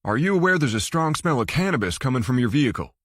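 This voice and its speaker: A man's voice: medium pitch, big pitch swings, fast, dry recording, studio quality. A man voicing a stern, officious male state trooper in an audiobook thriller.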